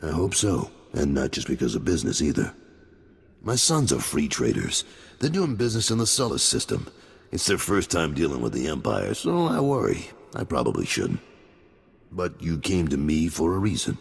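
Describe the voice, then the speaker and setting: An actor playing a blunt, gruff gangster boss in a video game. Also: male voice, extremely low pitch, huge pitch swings, moderate pace, in a large hall, heavily acted.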